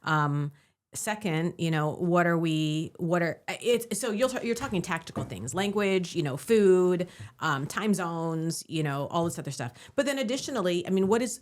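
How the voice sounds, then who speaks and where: A woman co-hosting a podcast, thinking aloud with many fillers and often agreeing with her partner. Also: fairly low pitch. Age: adult, divorced. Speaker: podcast co-host. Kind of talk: conversational podcast discussion.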